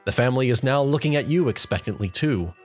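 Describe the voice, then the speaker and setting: A woman's voice: a bass voice, expressive, fast talker, a little mellow. A woman reading a story aloud as scripted second-person narration. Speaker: fan fiction reader. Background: faint music.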